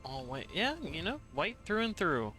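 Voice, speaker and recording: A man's voice: on the high side, big pitch swings, normal speed, sharp tone, soft background music. A man streaming a factory-building game live, thinking aloud with playful asides to himself. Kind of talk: live gameplay commentary.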